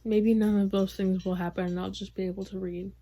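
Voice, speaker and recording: A young woman vlogging casually about her reading, rambling with lots of fillers, her voice going hoarse. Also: mid-range voice, level tone, a little fast, noisy background. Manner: rambling, filler-heavy, soft-spoken. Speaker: book vlogger. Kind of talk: casual vlog chat.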